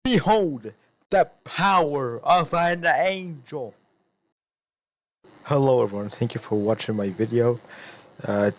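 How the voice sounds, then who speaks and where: A man speaking casually and a little haltingly to his viewers as an amateur creator, on a recording with a buzz. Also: lowish voice, warm tone, low-quality recording. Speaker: amateur voice actor and video creator. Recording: buzzing noise.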